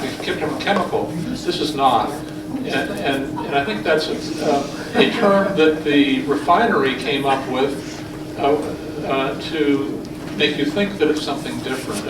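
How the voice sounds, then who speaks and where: A man on a science advisory committee gives a halting, explanatory public comment. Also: a little high. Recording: echoey room, distant mic, noise.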